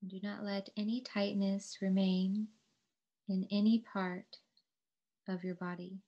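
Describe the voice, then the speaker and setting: A woman leads a guided meditation as a yoga teacher. Her delivery is unhurried and soothing, with little melodic movement and generous pauses between phrases. The recording sounds dull and lo-fi. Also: mid-range voice. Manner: calm, soothing, measured instruction with long pauses.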